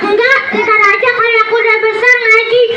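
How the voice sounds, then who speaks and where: A squeaky bratty child puppet. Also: male voice, level tone, fairly quick, background noise. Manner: whiny, teasing, bratty. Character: cheeky lazy child puppet who skips school. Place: school hall with children. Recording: echoey, distant, loud crowd.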